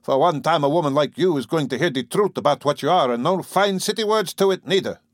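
A man reading an audiobook, voicing a gruff, bullying old farmer who whines and mangles his consonants in a rough rural dialect. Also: on the high side, lively intonation, fast talker, no room sound, clean studio sound, voice pushed up, close to an everyday voice. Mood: furious.

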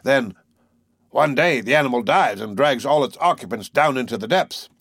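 A man voicing an excitable, boastful Canadian whale harpooner in an audiobook, blunt and emphatic. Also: medium pitch, normal speed, husky, no echo. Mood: earnest, boastful. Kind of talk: audiobook character voice.

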